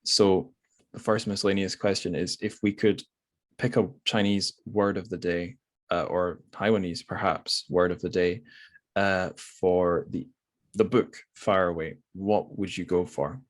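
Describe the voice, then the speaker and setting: A man hosts a relaxed book podcast. He talks casually and hesitantly, wandering through his thoughts as he goes. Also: deep voice, unhurried. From Scotland. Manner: hesitant, rambling, thinking aloud. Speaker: podcast host. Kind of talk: casual literary interview.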